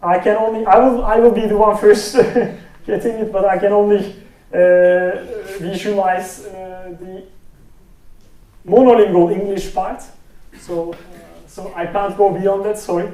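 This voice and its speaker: A man's voice: sits high, little pitch movement, measured pace, dark tone, recorded from a distance. A man with a Turkish accent giving a technical talk with a live demo, hesitant, with many fillers and restarts. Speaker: speech researcher giving a talk. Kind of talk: conference presentation with live demo. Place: lecture room. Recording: roomy hall from off-mic.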